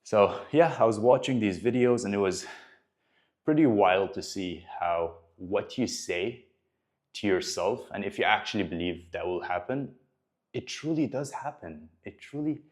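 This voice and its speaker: Young man, very deep voice, earnest motivational talk to camera, personal trainer, fillers and restarts. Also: lively intonation, measured pace. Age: 20s. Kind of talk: talking to camera about his goals.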